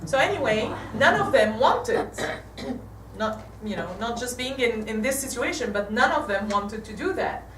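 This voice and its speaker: An Egyptian woman in her forties gives a church talk with slides, halting and restarting often. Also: fairly high pitch, lively intonation, a bit bright, a little hiss or hum. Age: 40s. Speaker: guest speaker. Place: church hall. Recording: echoey hall from far off.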